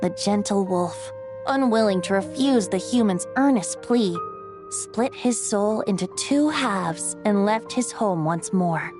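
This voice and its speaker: A woman's voice: mid-range voice, expressive, unhurried, natural voice. A woman voicing a playful, poetic young male bard in a video game, with lilting, theatrical storytelling. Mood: gentle, solemn. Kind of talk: dramatic video game narration. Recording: music under the voice.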